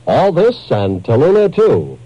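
Male announcer, American accent, lively melodic delivery, mellow tone, scripted promo, dry old radio recording. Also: on the high side, a little slow, dull audio, a little hiss or hum.

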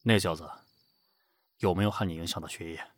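A man's voice: low-pitched, fairly even intonation, brisk, no echo, natural voice. A man in an audio drama playing a cold, jealous and possessive company boss with a curt, sardonic way of talking. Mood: probing, subdued. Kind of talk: amateur Chinese audio drama acting.

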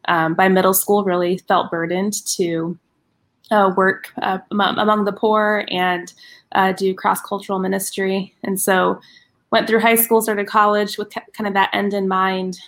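A middle-aged woman in a relaxed interview, speaking at an even, ordinary pitch. She is earnest and thoughtful, and she often trails off, says "um" and "uh," and restarts her sentences. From United States. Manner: earnest, with many fillers and restarts. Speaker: guest, ministry director. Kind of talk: casual interview conversation.

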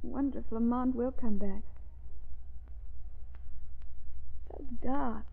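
An actress playing a brave but nervous young woman in a 1930s radio drama, with halting, theatrical delivery. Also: on the high side, steady tone, vocal fry. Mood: uneasy. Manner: theatrical, halting, hushed dread. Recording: muffled, noisy old radio recording.

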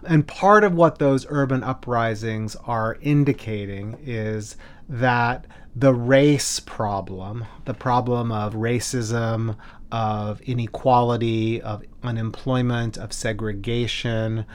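A man speaking as a scholar in an interview, explaining history in a measured, emphatic way with deliberate lists. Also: mid-range voice, steady tone, clear, resonant tone. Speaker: scholar, expert commentator.

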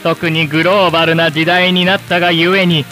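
A man's voice: on the high side, little pitch movement, sharp tone, soft background music. A man playing an earnest television presenter in a radio drama, announcing in formal, scripted, solemn language. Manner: formal, solemn, measured wording.

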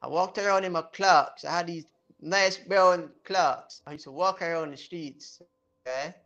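A man's voice: fairly high pitch, normal speed, muffled recording. A young man from Jamaica, telling stories animatedly as an interview guest. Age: young adult. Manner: animated storyteller, mimics voices. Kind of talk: casual interview chat.

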